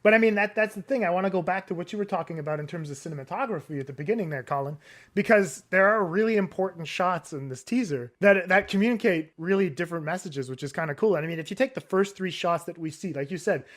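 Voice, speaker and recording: A man's voice: high-pitched, fast talker. A man co-hosting a casual film discussion, laying out his analysis step by step and checking that listeners follow. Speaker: podcast co-host.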